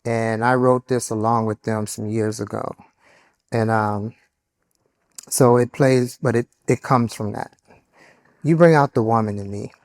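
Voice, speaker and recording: A man reading his own poems aloud at a literary panel, slow and deliberate in his delivery. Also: lowish voice, steady tone. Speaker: poet. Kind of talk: poetry reading.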